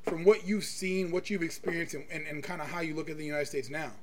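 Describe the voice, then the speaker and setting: A man's voice: fairly high pitch, steady tone, fast talker, slight background noise. A man hosting a podcast, talking casually and emphatically about his opinions, with plenty of swearing. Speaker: podcast host.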